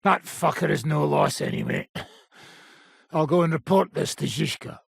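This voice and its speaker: An actor playing a gruff, middle-aged, foul-mouthed mercenary nobleman in a video game, blunt and cocky, with a raspy, gravelly voice. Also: fairly high pitch, lively intonation, moderate pace, no room sound, studio quality, full character voice. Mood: sardonic, rough. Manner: blunt, swaggering, earthy swearing. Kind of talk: video game character acting. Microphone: close, dry studio microphone.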